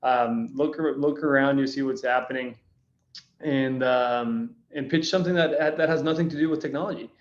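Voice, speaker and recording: A young man from Costa Rica, a guest telling his story in a relaxed, conversational way. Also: medium pitch, moderate pace, roomy sound, highs cut off. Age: young adult. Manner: relaxed, conversational, uses "like" and "right". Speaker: guest, company founder. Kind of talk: casual interview storytelling.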